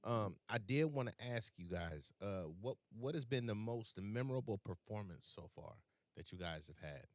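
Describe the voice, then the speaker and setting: A man's voice: on the low side, expressive, normal speed, few pauses, darkish tone, no room sound. A man hosting a music interview, chatting casually with lots of fillers and restarts. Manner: laid-back, many fillers and restarts. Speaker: radio host and playlist curator.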